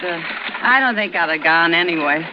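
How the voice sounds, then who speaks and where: A woman's voice: on the low side, lively intonation, a little fast, natural voice. An actress playing a weary, wistful frontier woman in a 1950s radio drama, speaking wryly. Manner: wistful, wry. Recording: muffled old radio broadcast with hiss.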